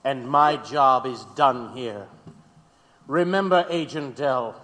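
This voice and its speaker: An actor playing an ominous, coolly self-satisfied security agent in an audio drama, speaking portentously. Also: male voice, mid-range voice, fairly bright tone. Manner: deliberate, portentous. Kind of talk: slow dramatic radio acting. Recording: long reverb with faint noise.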